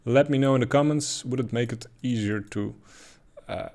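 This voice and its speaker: Man, Dutch accent, mid-pitched voice, casual chart commentary, hesitant fillers. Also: normal speed. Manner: relaxed, thinking aloud with many ums and uhs. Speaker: crypto chart analyst.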